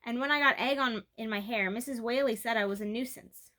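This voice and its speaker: A woman's voice: on the high side, fairly quick, pushed and bright. A woman voicing an earnest, worried eight-year-old girl in a storybook, with a questioning manner.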